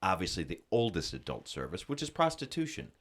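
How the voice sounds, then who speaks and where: A man co-hosting a casual live talk show, with a deep voice and a joking, self-mocking way of bantering. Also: wildly swooping pitch, fairly quick, a bit bright. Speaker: co-host. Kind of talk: casual chat.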